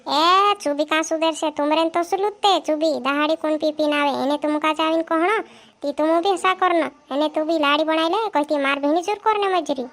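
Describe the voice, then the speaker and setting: A woman's voice: high-pitched, brisk, fairly bright tone. A woman playing a scolding village mother in a cartoon comedy, nagging and chiding her son.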